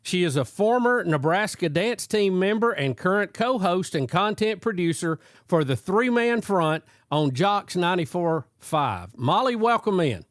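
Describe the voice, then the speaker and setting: An older man hosting a sports talk show, speaking haltingly with lots of pauses and restarts. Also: sits high, lively intonation, dry recording, studio quality. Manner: halting, many fillers and restarts. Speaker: show host.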